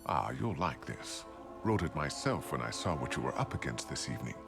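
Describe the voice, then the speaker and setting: An older tech expert with a deep, courteous voice and dry humor, his delivery expressive and a little gravelly. Music plays behind him in this game cutscene. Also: brisk, exaggerated voice. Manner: polite, dry humor, fatherly. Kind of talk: video game voice acting.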